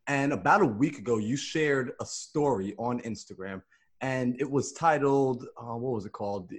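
A man's voice: medium pitch, normal speed. A man in his late twenties hosting a casual interview, asking long, chatty questions full of "like" and "bro". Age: 20s. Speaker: interview host.

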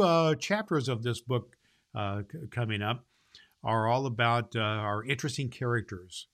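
A jolly, kindly old Santa Claus played by a man hosts a children's Christmas show. He has a medium-pitched male voice and speaks in a folksy, emphatic way, halting and repeating himself as he addresses the children. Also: fairly bright tone, lightly acted.

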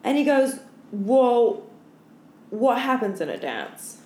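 A woman's voice: on the high side, sing-song, slow, a little mellow. A young woman co-hosting a podcast, telling stories in casual, sweary, candid chat with lots of filler "like". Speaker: podcast co-host. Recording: roomy echo, faint background noise.

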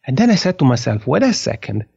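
A man from the Italian-speaking part of Switzerland with a Swiss Italian accent, a podcast guest telling his story in an animated, laughing way. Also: mid-range voice, quick pace, creaky, breathy edge, dry recording, highs cut off. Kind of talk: casual interview conversation.

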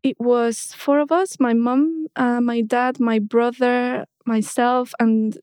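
A woman in her thirties from Mexico, a guest in a casual interview, who talks hesitantly with many restarts and soft laughs. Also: on the high side, fairly even intonation, takes it slowly, clean tone, no room sound, studio quality.

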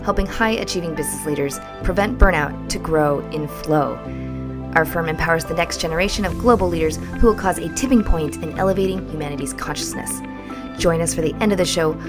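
A woman reading a polished, upbeat podcast intro as the show's host. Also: low-pitched. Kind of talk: scripted show intro over music. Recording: voice over loud music.

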